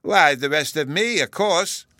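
A man's voice: medium pitch, moderate pace, fairly bright tone, dry recording, clean studio sound, voice pushed up, natural voice. A man voicing an earnest, stubborn small boy who talks in childish grammar with babyish mispronunciations.

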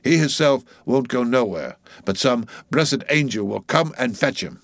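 A man's voice: expressive, moderate pace, fairly bright tone, no echo, lightly acted. A man voicing a sulky ship's cook of about ninety, muttering testily in dialect, in an audiobook story. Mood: solemn. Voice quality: shrill when raised. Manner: muttering, testy, dialect speech.

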